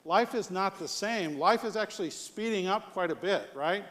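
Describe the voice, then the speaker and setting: American male conference speaker, notably high voice, conversational delivery with checking questions, long hall reverb. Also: lively intonation, moderate pace, a bit bright. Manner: conversational, rhetorical questions with "right?" tags. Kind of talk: live leadership presentation.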